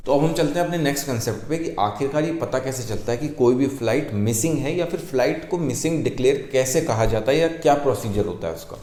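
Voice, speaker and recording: A man's voice: mid-range voice, animated, fast, few pauses, warm tone. A man presenting an informal explanatory lesson, mixing English technical terms into his speech. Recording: echoey, roomy sound.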